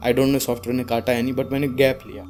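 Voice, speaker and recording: Deep-voiced calm Indian man, music behind. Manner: calm, unforced, deliberate pauses; mixes English words into speech. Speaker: speaking coach. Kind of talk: informal advice talk to camera.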